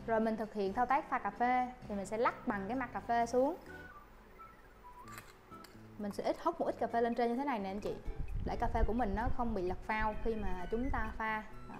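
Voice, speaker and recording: Young woman barista, fairly high voice, courteous step-by-step tutorial, lapel mic, faint music under it. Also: moderate pace. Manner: polite, addresses viewers with soft fillers. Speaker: barista instructor. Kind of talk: coffee-making tutorial. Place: coffee shop counter indoors.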